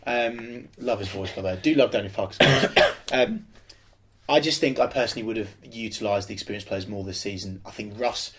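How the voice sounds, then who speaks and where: A man's voice: fairly low pitch, fairly quick, slight background noise. Opinionated man, podcast co-host. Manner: animated, opinionated, emphatic. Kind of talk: casual football podcast chat.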